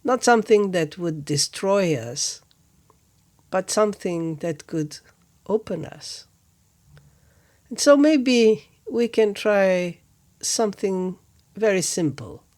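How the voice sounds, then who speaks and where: Woman talking real slow and gentle, calm spiritual reflection, lots of pauses. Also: medium pitch. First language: Portuguese. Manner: gentle, measured, with long pauses between phrases. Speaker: spiritual teacher, podcast host. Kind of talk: reflective contemplative talk.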